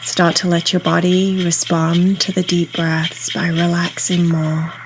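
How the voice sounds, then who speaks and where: Woman, meditation guide, slightly low pitch, very steady intonation, soothing and unhurried, pauses between instructions, faint noise behind. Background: soft ambient meditation music.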